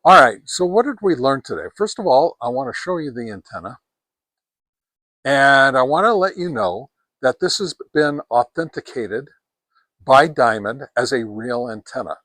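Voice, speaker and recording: Male reviewer, mid-pitched, casual and folksy, emphatic repetition, dry outdoor recording without echo. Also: sing-song, moderate pace, fairly bright tone. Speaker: product reviewer and host. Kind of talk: casual hands-on radio gear review. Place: outdoors on a deck.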